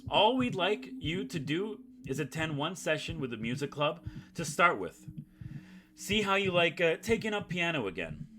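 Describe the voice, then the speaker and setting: Man reading as a calm, insistent male schoolteacher. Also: fairly high pitch, normal speed, noisy background. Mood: coaxing. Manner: calm, patient but insistent. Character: calm, coaxing male high school teacher. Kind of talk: visual novel read aloud.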